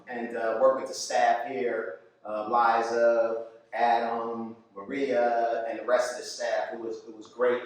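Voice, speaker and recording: A man giving an informal live introduction to a puppet show as the artist running it, speaking in a halting, appreciative way. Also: medium pitch, fairly bright tone. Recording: echoey room, distant mic, music behind.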